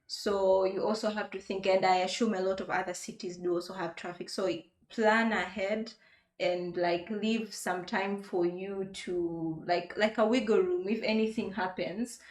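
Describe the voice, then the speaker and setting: A woman's voice: medium pitch, fairly even intonation, unhurried. Young woman host from Tanzania, upbeat, casual, roomy echo. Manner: upbeat, laughs often. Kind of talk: casual interview chat.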